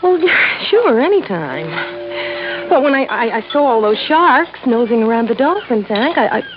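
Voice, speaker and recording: An actress playing an anxious, hesitant young woman in an old time radio drama, halting and earnest. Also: fairly high pitch, very animated. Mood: flustered, evasive. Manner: halting, earnest, breaking off mid-thought. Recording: dull, faintly hissy old radio recording.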